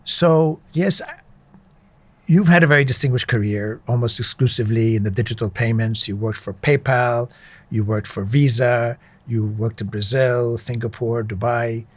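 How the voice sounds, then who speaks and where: Measured man interviewing a guest. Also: medium pitch, no room sound. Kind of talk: interview conversation.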